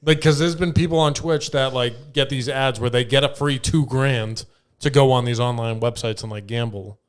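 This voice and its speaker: A man's voice: mid-range voice, moderate pace, clear, resonant tone. A man co-hosting a podcast in casual, joking chat, tossing in flippant remarks. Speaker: podcast host.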